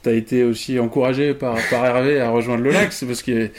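Measured male interviewer, mid-pitched. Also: steady tone. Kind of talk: interview host.

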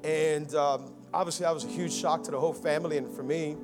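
A young man from Miami preaching a Christmas sermon, moving between rousing calls and quiet personal talk. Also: on the high side, steady tone, fast, over music. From United States (Miami). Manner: rousing preaching, swinging from loud and fervent to quiet and intimate. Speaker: pastor.

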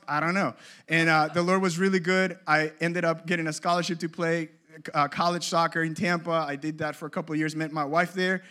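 A man from Colombia with a fairly high voice gives a conference workshop talk. He speaks casually, jokes with the room, and stumbles through fillers and restarts. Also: sharp tone. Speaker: creative ministry leader speaking at a conference.

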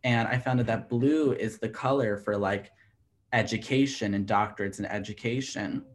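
A man's voice: fairly low pitch, moderate pace. A man of twenty in casual chat as a podcast co-host, talking loosely with many fillers and laughing easily. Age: 20s. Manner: chatty, heavy use of "like", laughs easily.

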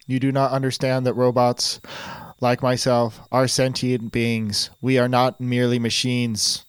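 A man putting on a pompous, resentful robot who talks in a dead-level monotone, every phrase stiff and formal. The voice is smooth and clean and sits close and dry, with no room around it. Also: medium pitch, unhurried, dry recording, close to an everyday voice. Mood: subdued anger. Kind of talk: improvised comedy sketch.

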